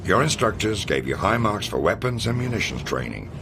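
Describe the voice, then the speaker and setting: A man playing a commanding, confident American intelligence officer, delivering a measured, authoritative mission briefing in a video game. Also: on the low side, big pitch swings, vocal fry, noisy background. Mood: brisk, persuasive. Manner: authoritative, measured, matter-of-fact. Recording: music under the voice. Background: background music.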